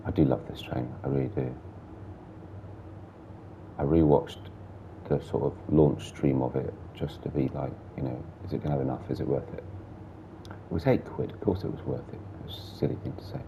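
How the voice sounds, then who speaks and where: Very deep gravelly man. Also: steady tone, moderate pace, pauses often, muffled recording, noisy background. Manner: chatty, muttering through cab controls. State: sore throat, blocked nose. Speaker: gameplay streamer. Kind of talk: casual train sim commentary.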